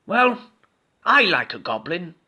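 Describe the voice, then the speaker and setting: A man voicing a kindly old male skeleton in a storybook read-aloud, talking slowly. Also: sits high, big pitch swings, pushed and bright, husky, pitched above the performer's own voice, full character voice. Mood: gentle.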